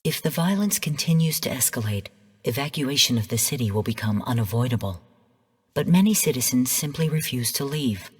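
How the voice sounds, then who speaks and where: Give the game a stern female artificial intelligence, heard through long hall reverb. Her voice is low and a little husky. She briefs formally and analytically, in measured, composed phrases. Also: lively intonation, exaggerated voice. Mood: grave. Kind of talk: scripted game narration.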